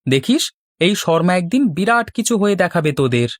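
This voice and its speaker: A woman's voice: lowish voice, very animated, normal speed, dry recording, clean studio sound. A woman voicing a cheeky, boastful young village boy in a children's cartoon, with cocky back-talk. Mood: cocky, teasing.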